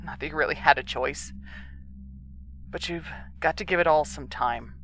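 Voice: mid-range voice, moderate pace, fairly bright tone, a little hiss or hum, close to an everyday voice. A performer playing an earnest, conciliatory moonbase crew member pleading for patience in a sci-fi audio drama.

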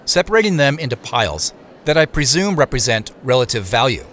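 An actor playing a weary, wry man who narrates his own secret quest in an audio drama, in a measured way. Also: medium pitch, very animated, a bit bright. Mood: measured, watchful. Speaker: narrator and lead character. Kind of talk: first-person audio drama narration and dialogue.

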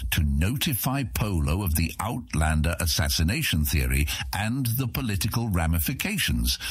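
A man's voice: deep voice, slightly rough, no echo. A man narrating scripted fiction as an audiobook narrator.

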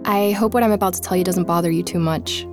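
Casting: actress for intent female journalist-narrator, slightly low register, reflective and confiding, audio drama with music bed. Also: fairly even intonation, fast, natural voice. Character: woman journalist narrating her investigation, curious and intent. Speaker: podcast host and narrator.

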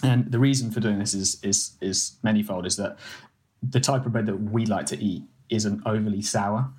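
A man's voice: on the low side, steady tone, moderate pace, a little mellow. A young man, a food editor and ex-baker, explaining casually with lots of "like" and restarts. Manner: rambling, hedging with "like" and restarts.

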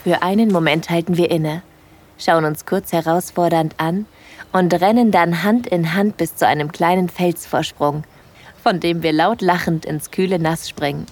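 A woman playing a smitten hiker who narrates her date intimately in a breathy, playful way for an erotic audio drama. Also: lowish voice, steady tone, normal speed. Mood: tender, intimate.

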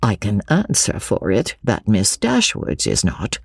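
Lady reader doing a jolly gossip matron from an old novel, husky, lively, teasing. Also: extremely low pitch, measured pace, no echo, exaggerated voice. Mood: amused teasing. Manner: jovial, indulgent. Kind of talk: classic novel character dialogue.

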